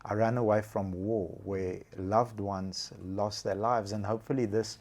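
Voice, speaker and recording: An earnest man from Bulgaria hosts the conversation with a fairly low voice. He sounds encouraging, even when he stumbles over a word. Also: a little slow. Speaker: interview host. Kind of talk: casual interview talk.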